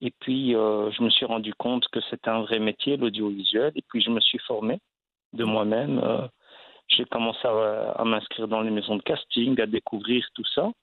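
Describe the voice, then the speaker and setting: A man's voice: fairly low pitch, fairly even intonation, moderate pace, no room sound. A man from Belgium, an actor and guest, telling his story in a casual interview with many fillers and restarts. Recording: dry, phone-like line.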